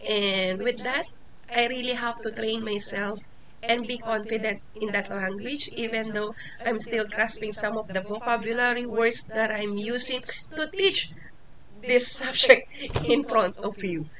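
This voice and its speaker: A woman's voice: on the high side, fairly even intonation, measured pace, crowd noise, poor audio. A Filipino woman in her forties whose first language is Tagalog, giving an informal lesson in English with many fillers, restarts and small laughs. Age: 40s. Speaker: teacher. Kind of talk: informal lecture.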